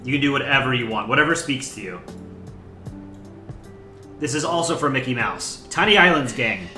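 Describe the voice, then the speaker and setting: A young man hosts a laid-back livestream, his voice bright and forward. He rambles casually and thanks viewers by name. The room is echoey, with faint music underneath. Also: medium pitch, animated, moderate pace. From United States. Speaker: livestream host. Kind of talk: casual chat.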